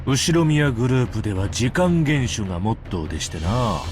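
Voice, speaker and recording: An actor playing a bold, smugly courteous company president in a visual novel, polished and needling. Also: male voice, mid-range voice, very animated, rapid-fire, a little mellow, lightly acted. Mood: smugly polite.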